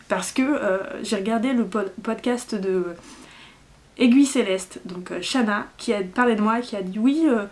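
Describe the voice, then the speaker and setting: A woman hosting a knitting podcast, chatting casually to viewers in a scattered, self-deprecating way and often losing her thread. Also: fairly high pitch. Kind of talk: casual rambling vlog talk to viewers.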